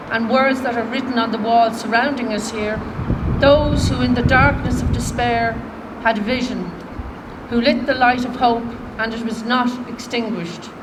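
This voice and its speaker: A woman with an Irish accent speaking solemnly and deliberately as master of ceremonies at a formal commemoration. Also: high voice. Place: outdoor memorial garden ceremony. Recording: distant outdoor microphone, clear background noise.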